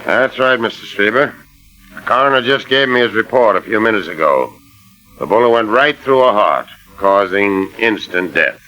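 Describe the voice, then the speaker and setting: A polite, probing male inspector in a vintage radio drama. His voice is low and forceful, with a clipped official manner. The old recording carries faint music. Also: expressive, normal speed, rough recording. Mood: polite, businesslike. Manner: official, clipped, matter-of-fact. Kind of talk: 1940s radio drama acting.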